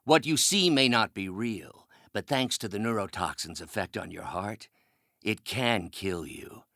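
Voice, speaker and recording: A man's voice: on the low side, a little slow, sharp tone, no room sound, studio quality. An actor playing a brilliant older male scientist in a video game, articulate and professorial, a mentor with a bitter, menacing edge. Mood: coldly menacing. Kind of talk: dramatic video game voice acting.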